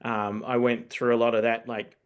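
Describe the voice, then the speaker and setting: A man's voice: mid-range voice, level tone, moderate pace, clear, resonant tone. A man who grew up in New Zealand, hosting a casual interview and thinking aloud as he asks long, circling questions. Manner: rambling, thinks aloud, circles back to rephrase. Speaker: host, coach developer.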